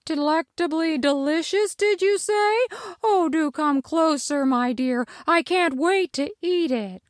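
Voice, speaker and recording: A woman voicing a sly male wolf who fakes a sugary, high granny voice. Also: unhurried, no echo, clean studio sound. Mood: falsely sweet. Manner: sugary, falsely sweet, high and quavering.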